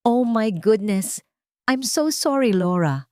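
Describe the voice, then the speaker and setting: A woman's voice: on the high side, animated, slow, darkish tone, no echo, studio quality, pitched above the performer's own voice. A woman voicing a scheming rich wife with a Filipino accent and sugary, feigned niceness in an audio drama. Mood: feigned concern. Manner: feigned sugary concern, mixing English and Tagalog. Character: scheming rich wife, falsely sweet villainess.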